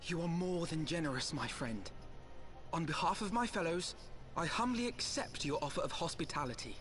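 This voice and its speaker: A man playing a dignified, earnest young idealist in a game cutscene, speaking in formal, measured, eloquent phrases. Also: a little high, expressive, fairly bright tone, faint music behind. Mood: humbled, grateful. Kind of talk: video game cutscene acting.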